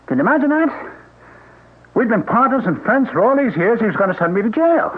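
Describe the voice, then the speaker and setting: An actor playing a middle-aged businessman who calmly confesses to murder, in a coldly matter-of-fact, self-justifying way, in a 1970s radio drama. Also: very high voice, brisk, breathy edge, full character voice. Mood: indignant. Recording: tinny old radio broadcast.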